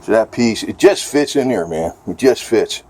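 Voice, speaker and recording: A man's voice: on the low side, expressive, normal speed, darkish tone, a little hiss or hum. An American man chatting casually off the cuff in a rambling, informal, folksy way. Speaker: vlogger.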